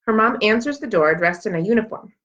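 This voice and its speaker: A woman reading a children's book aloud as an expressive storyteller. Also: mid-range voice, fairly quick. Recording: dull, lo-fi sound.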